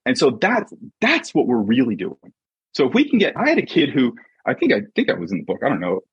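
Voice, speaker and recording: Very husky man, animated coaching talk. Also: medium pitch, fast talker, no room sound. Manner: emphatic, peppers points with rhetorical check-in questions. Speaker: podcast guest, sports mindset coach and author. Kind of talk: conversational motivational coaching talk. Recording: dull, muffled lo-fi audio.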